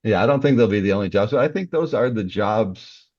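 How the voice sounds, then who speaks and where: A man's voice: on the low side, animated, fairly quick, a little mellow, no room sound, highs cut off. A man talking casually as a podcast guest, explaining his views earnestly with examples. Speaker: security company worker.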